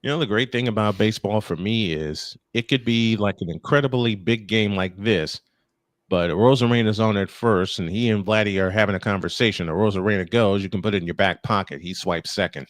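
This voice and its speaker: A man's voice: lowish voice, brisk, dry recording, clean studio sound. A man calling a baseball game live as play-by-play host, slipping between commentary and casual chat.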